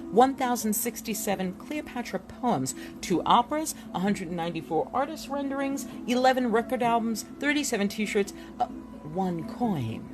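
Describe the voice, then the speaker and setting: A woman giving an expressive, deliberate reading of an illustrated book as a narrator, with dramatic delivery. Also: mid-range voice. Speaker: reader presenting a book chapter. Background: music.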